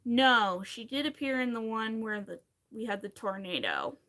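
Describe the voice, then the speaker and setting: Bright chatty woman from pennsylvania, kinda high voice, rambling while she crochets on a live. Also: very animated, normal speed. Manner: chatty, rambling, wry asides, answers chat comments. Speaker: crochet livestream host. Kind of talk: casual chat while crocheting on a livestream.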